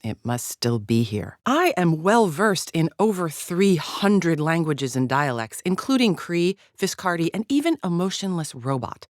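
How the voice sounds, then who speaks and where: A woman's voice: fairly low pitch, big pitch swings, a little slow, few pauses, dry recording, studio quality. A woman playing a haughty, contemptuous female alien agent in a video game, speaking formally with clipped, disdainful precision. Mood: cool, condescending. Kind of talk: scripted video game character lines.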